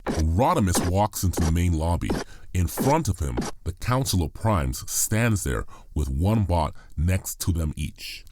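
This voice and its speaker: A man's voice: deep voice, lively intonation, unhurried, noisy background. A man reading scripted narration for an audio drama.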